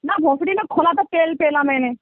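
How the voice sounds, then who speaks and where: A woman's voice: high voice, a little fast, no room sound. A woman in a heated phone argument, foul-mouthed, threatening and scolding, heard over a narrow-band call recording.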